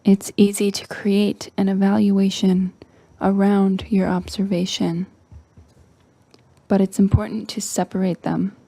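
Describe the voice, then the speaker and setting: A woman leading a guided meditation in a soft, breathy, near-whispering voice, calm and gentle with long pauses. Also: medium pitch. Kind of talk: slow guided meditation narration.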